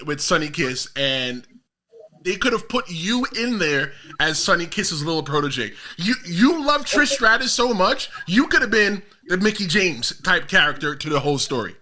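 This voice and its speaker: A man with a Jamaican American and New York accent hosts a relaxed interview, prodding and teasing his guest with plenty of energy. His voice is sharp and very bright, sitting a touch higher than most men's. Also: normal speed. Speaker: podcast host. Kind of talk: casual interview.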